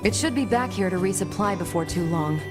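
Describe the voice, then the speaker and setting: A woman's voice: medium pitch, animated, fairly quick, natural voice. A woman playing a direct, wry android resistance leader in a video game, informal but businesslike. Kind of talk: English video game voice acting. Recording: game music playing behind.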